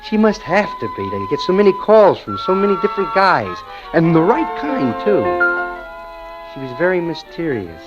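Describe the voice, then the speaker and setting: Smitten American clerk, muffled old radio. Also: male voice, on the high side, wildly swooping pitch, moderate pace, over music. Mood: wry, puzzled. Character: smitten, obsessive male bank clerk telling his story. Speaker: narrator and lead actor. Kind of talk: 1940s radio drama narration.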